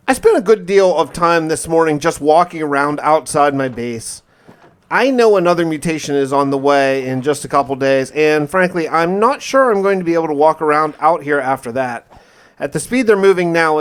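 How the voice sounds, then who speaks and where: A man's voice: fairly high pitch, smooth. A man narrating a gaming video in a casual, conversational first-person style with a mildly wry manner. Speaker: gaming video host narrating a survival playthrough.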